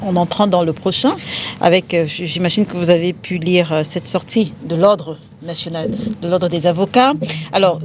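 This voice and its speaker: Animatrice camerounaise à la voix plutôt grave. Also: female voice, lowish voice, darkish tone, a little hiss or hum. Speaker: television debate host. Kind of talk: moderating a panel discussion.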